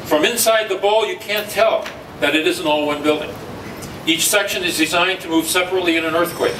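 Man explaining steadily, somewhat high. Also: fairly quick. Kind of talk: explanatory press conference remarks. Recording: echoey room from far off, noisy.

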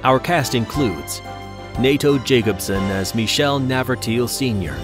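A man's voice: medium pitch, a little slow, music in the background. A man working as an announcer, reading scripted credits narration.

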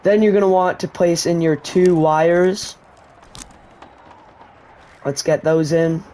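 A man's voice: a little high, steady tone, slow pace, warm tone, slight background noise. A man narrating a video game tutorial, explaining step by step how to use an item. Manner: explanatory, walks viewers through steps. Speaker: gaming YouTuber. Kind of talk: gameplay tutorial commentary.

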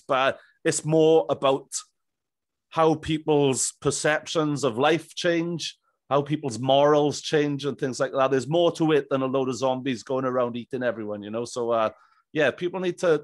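A man's voice: mid-range voice, dry recording, studio quality. A man in his thirties chatting casually as a podcast host. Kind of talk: casual interview chat. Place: small indoor room, on video call. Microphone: studio microphone on boom arm.

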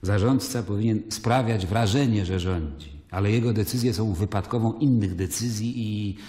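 An actor playing a weary, troubled Roman governor, narrating in a measured, deliberate way in a radio play. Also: male voice, lowish voice, warm tone, husky, no echo. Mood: troubled, reflective. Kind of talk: dramatic first-person narration in a radio play.

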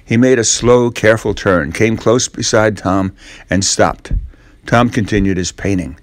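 An older man reading a classic children's story as storyteller, in measured, simple phrases. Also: lowish voice, dry recording, clean studio sound. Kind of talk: scripted story narration.

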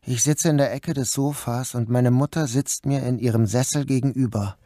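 An actor playing a troubled grown son who narrates his own story in an introspective, measured literary style. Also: mid-range voice, fairly even intonation, dark tone, dry recording, studio quality, lightly acted. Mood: brooding, tense. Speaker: first-person narrator and protagonist. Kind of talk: literary audio drama narration.

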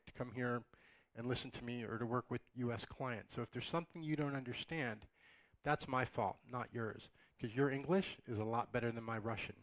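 Slightly raspy man lecturing. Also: medium pitch, a bit bright, dry recording, rough recording. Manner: casual, joking asides to the audience. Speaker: conference speaker. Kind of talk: conversational lecture. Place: dark auditorium, in front of a projected slide.

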